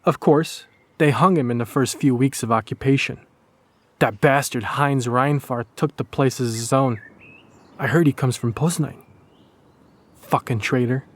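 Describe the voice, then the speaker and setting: A man's voice: mid-range voice, measured pace, no echo, lightly acted. A man playing a bitter, brooding Polish resistance executioner narrating his wartime journal in a dramatic audiodrama.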